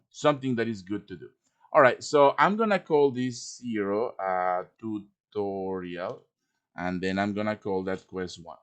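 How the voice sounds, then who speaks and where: A man presenting an informal live software tutorial, explaining things step by step with casual asides. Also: medium pitch. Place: live-streamed voice chat with screen share.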